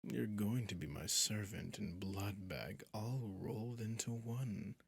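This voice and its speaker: Man playing a slow, gravelly, sadistic vampire prince. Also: slight background noise. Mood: possessive, taunting. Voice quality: low, husky, gravelly. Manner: slow, menacing, often dropping to a whisper. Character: sadistic centuries-old male vampire prince, possessive and menacing. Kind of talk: ASMR roleplay acting.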